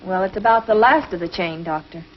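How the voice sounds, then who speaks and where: A woman playing an earnest, precise space crew scientist in 1950s television adventure style, with crisp delivery. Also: medium pitch, brisk, natural voice. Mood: serious, puzzled. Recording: dull, noisy 1950s TV film soundtrack.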